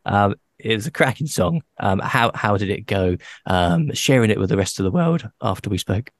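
A man hosting a podcast, chatting casually with a guest, playful and quick to laugh. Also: lowish voice, lively intonation, no echo. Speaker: podcast host. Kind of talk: casual interview chat.

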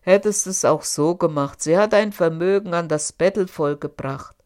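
A woman voicing a boastful, greedy male giant in an audiobook, speaking loudly and scornfully. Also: normal speed, close to an everyday voice. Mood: mocking. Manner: loud, booming, scornful. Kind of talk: audiobook character voice.

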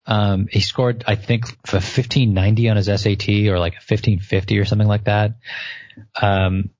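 Late-twenties male co-host, lower voice, animated, stumbles into sentences, muffled dry audio. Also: moderate pace, dry recording. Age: 20s. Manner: animated, repeats words when starting. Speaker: podcast co-host. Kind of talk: casual chat.

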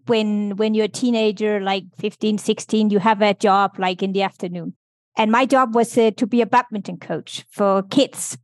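A woman answers interview questions in a fairly high voice, thinking aloud as she talks. She pauses, restarts and fills gaps while working out what to say next. The recording is close and dry, with no room sound. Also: darkish tone, dry recording. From Denmark. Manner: thoughtful, with frequent restarts and fillers. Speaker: podcast guest.

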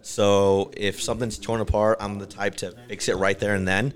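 Low-voiced easygoing podcast guest. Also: male voice, little pitch movement, normal speed. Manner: easygoing, explains plainly, laughs at himself. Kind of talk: casual chat.

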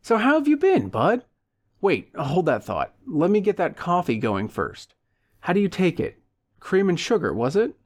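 A man's voice: high voice, wildly swooping pitch, normal speed, pitched above the performer's own voice, full character voice. A man voicing a falsely jovial, pushy man in an audiobook, with exaggerated chummy enthusiasm. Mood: chummy, hospitable.

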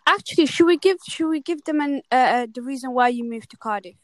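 Young woman, higher voice, talks a lot and keeps asking questions, dry close sound. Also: fast talker, no echo. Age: young adult. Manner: chatty and emphatic, asks lots of questions. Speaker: student co-host. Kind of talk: casual chat.

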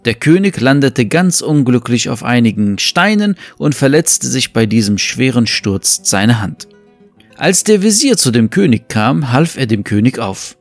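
A man's voice: medium pitch, big pitch swings, unhurried, dry recording. A man narrating a scripted fable as the storyteller of an audio story. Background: faint music.

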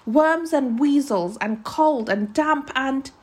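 A woman voicing an anxious, sharp-tongued, determined mother in a storybook, with emphatic, homely ungrammatical speech. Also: on the high side, big pitch swings, normal speed. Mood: angry, scolding. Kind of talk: storybook read-aloud character voice.

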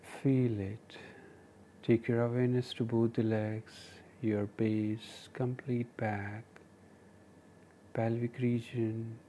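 Indian guy doing guided meditation, flat mellow voice, short calm instructions w pauses, bit of background noise. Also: medium pitch. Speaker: meditation teacher and podcast host.

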